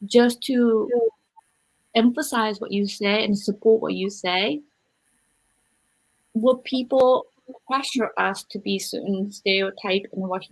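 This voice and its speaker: A woman's voice: medium pitch, vocal fry, rough recording. A woman from Japan, speaking English as a second language, hosting a podcast interview in an earnest, emphatic way. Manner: earnest, emphatic, repeats points for stress. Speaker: podcast host. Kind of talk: conversational interview.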